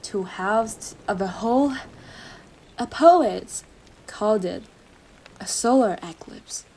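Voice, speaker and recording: A woman's voice: medium pitch, darkish tone, breathy edge, rough recording. A woman playing a young, obsessive yandere girlfriend in a roleplay monologue, syrupy and menacing, speaking intimately to her partner with a jealous intensity. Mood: fervent devotion. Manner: slow, sugary endearments swinging into intense jealous outbursts. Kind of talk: dramatic roleplay monologue addressed to the listener.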